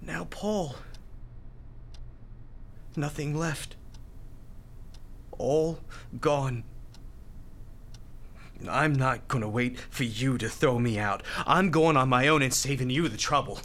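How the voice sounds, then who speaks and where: An actor in a radio drama playing a grieving, bitter young hospital volunteer, tough but tender, speaking bluntly and haltingly. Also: male voice, medium pitch, fairly bright tone, a little hiss or hum. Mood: bitter, hurt. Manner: halting, emotional, blunt street talk.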